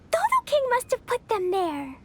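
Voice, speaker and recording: A woman voicing an earnest, brave and innocent little girl adventurer in an animated video game. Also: extremely high pitch, unhurried, a little hiss or hum, exaggerated voice. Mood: curious. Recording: faint music behind.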